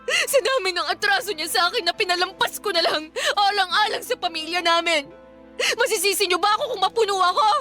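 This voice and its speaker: Fiery, high-voiced Filipina wife. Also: female voice, fairly quick, faint music behind. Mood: furious. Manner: confrontational, loud, indignant. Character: fed-up, fiery young mother confronting her partner's family. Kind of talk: Filipino radio drama acting.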